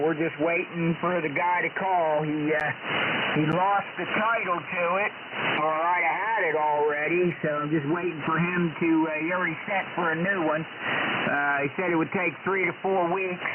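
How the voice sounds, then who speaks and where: A higher-pitched older man talks casually over a thin, narrow two-way radio signal. Heavy noise runs under his voice. His delivery is folksy and hesitant, like an unhurried chat between radio hobbyists. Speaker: amateur radio operator. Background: loud radio noise.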